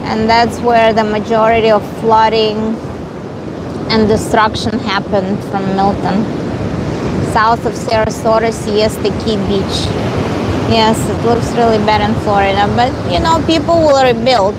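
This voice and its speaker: Slow-talking woman, windy beach. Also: fairly high pitch, steady tone. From Eastern Europe, Russian family. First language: Russian. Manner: slow, rambling, reads and answers viewer comments. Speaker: live streamer. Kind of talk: casual live stream chat. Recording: loud wind and surf noise. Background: ocean waves and wind.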